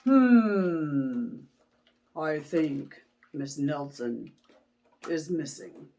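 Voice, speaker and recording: A ponderous male detective from a children's book, voiced by a woman. He mulls things over very slowly in a lowered voice, sounding of little help. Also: big pitch swings, warm tone, full character voice. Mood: pondering. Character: slow, unhelpful male police detective, pondering.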